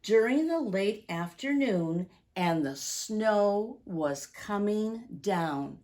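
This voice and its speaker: A woman, speaking deliberately and expressively to young children as a story-time teacher. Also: mid-range voice, animated, darkish tone. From United States, Midwest.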